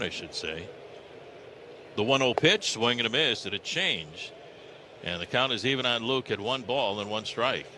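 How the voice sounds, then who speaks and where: A man's voice: medium pitch, bright, forceful tone. A man with an American accent calling live baseball play-by-play on the radio. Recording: ballpark ambience noise behind broadcast. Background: stadium ambience.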